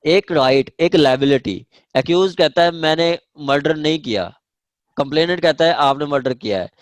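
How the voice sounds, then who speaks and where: A man's voice: fairly high pitch, normal speed, no room sound. A man with a Pakistani accent teaching law in an online class, repeating and rephrasing key points and checking understanding. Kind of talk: online lecture, explaining legal definitions. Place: online class over screen share.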